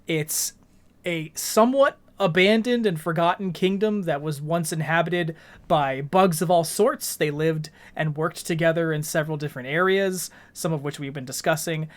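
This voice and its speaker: A man's voice: fairly high pitch, moderate pace. A man hosting a podcast, explaining a video game in a casual, articulate way and steering the discussion. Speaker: podcast host.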